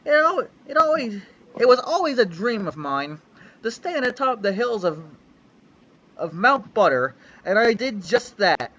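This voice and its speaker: A man's voice: high-pitched, sing-song, unhurried, bright, forceful tone, lo-fi sound. A young man giving a mock-dramatic reading of a fanfic on a livestream, with a theatrical, sweeping storyteller delivery. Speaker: reader on a livestream.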